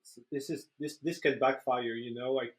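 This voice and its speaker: A man's voice: medium pitch, steady tone, normal speed. A man from Poland, explaining conversationally as a guest, hesitant and repeating words as he goes. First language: Polish. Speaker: interview guest, professional trainer.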